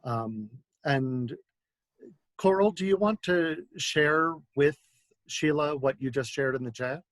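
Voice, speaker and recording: Male moderator, slow pace, dry close sound, gentle, polite, halting, online question session. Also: fairly high pitch, dry recording, rough recording. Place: video call webinar.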